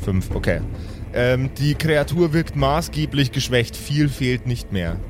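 A man's voice: mid-range voice, animated, unhurried. A man acting as game master, improvising narration and chatting casually with his players. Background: prominent background music.

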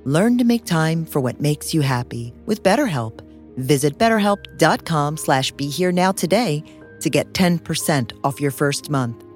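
A woman reading a scripted advertisement with a smooth, friendly delivery. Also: deep voice. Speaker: ad reader.

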